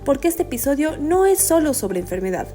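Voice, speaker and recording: Woman announcer, fairly high voice, polished scripted intro, faint music underneath. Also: big pitch swings, a little fast. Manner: polished, emphatic delivery. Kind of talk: scripted narration. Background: soft music.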